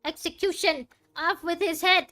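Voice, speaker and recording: A woman's voice: very high-pitched, fairly even intonation, normal speed, bright, forceful tone. A woman doing casual, playful gameplay commentary as a streamer, reacting aloud to the game. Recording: game music behind the voice.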